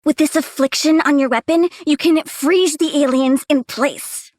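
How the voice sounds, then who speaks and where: A woman's voice: sits high, a little slow, a little mellow, dry recording, clean studio sound. A woman voicing a volatile young plant-powered girl villain in a video game, girlish, bratty and blunt, with gleeful menace. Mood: matter-of-fact, eager. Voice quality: girlish, childlike. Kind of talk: video game character voice lines.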